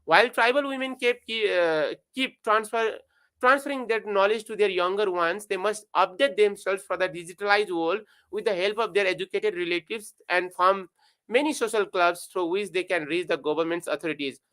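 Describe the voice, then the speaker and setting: A man's voice: high voice, normal speed, a bit bright, clean tone. A man giving an academic presentation, speaking hesitantly with many filler words.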